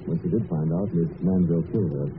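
An actor playing a mocking, commanding crime-fighter who speaks deliberately in an old radio drama. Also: male voice, sits low, steady tone, phone-quality sound, close to an everyday voice. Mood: relaxed explaining. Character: mocking shadowy male crime-fighter with a sinister laugh. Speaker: hero detective. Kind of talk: 1940s radio acting. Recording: thin, noisy old radio broadcast.

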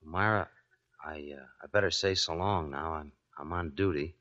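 Awkward sailor: low, hesitant, guarded but tender, dull vintage radio drama. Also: male voice, normal speed, pushed and bright. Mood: reluctant. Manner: hesitant, subdued. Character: awkward, guarded, tender-hearted navy man. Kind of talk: 1940s radio drama acting. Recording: dull 1940s radio broadcast recording.

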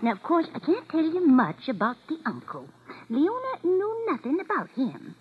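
A woman's voice: sits high, huge pitch swings, moderate pace, a crowd in the background. An actress playing a chatty, nosy, folksy landlady in an old radio drama, rambling and fussing with little mm-hmms. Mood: chatty, eager. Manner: rambling, fussy, peppered with mm-hmms. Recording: muffled old radio recording.

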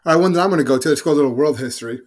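A man's voice: on the high side, rapid-fire. A middle-aged man co-hosting a casual podcast, teasing his partner with easygoing banter. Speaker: podcast co-host. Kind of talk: casual chat.

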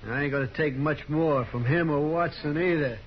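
A man's voice: on the high side, normal speed, lightly acted. A man playing a surly, possessive saloon customer, curt and defensive, in a 1950s radio Western. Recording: muffled old radio recording.

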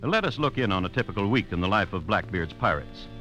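A man narrating a scripted 1950s radio story in a wry manner, with dramatic pauses. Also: lowish voice, big pitch swings, over music, rough recording. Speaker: narrator and host.

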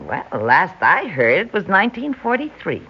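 An actress playing a brash, lively young 1940s woman with a slangy, wisecracking way of talking in an old radio drama. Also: lowish voice, moderate pace, noisy background. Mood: wry, puzzled. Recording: dull vintage radio recording, faint music.

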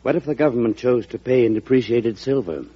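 An actor playing a wealthy, shrewd and haughty banker in an old-time radio drama, measured and pompous. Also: male voice, medium pitch, warm tone, slightly rough, close to an everyday voice. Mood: smooth, skeptical. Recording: muffled old radio recording.

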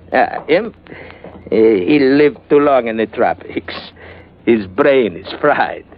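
An actor playing a swaggering, sly pirate captain with a Spanish accent and broken English, in 1940s radio drama. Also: male voice, mid-range voice, lively intonation, slow pace. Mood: amused, sly. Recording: muffled vintage radio recording.

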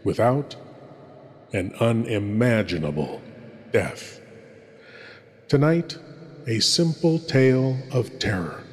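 A man playing a theatrical horror host, sinister and grandly courteous, speaking in dramatic, ornate phrases with long pauses. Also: mid-range voice, expressive. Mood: ominous. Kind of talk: theatrical scripted horror introduction. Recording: long cavernous reverb.

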